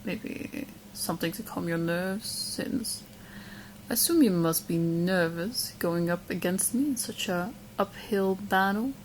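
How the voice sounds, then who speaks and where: A woman's voice: fairly low pitch, unhurried, a little hiss or hum, lightly acted, low-quality recording. A woman playing an obsessive, smug young witch in love, chatting coyly to the listener in a roleplay audio drama. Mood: sweetly confident. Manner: coy, smug, chatty, hesitant asides.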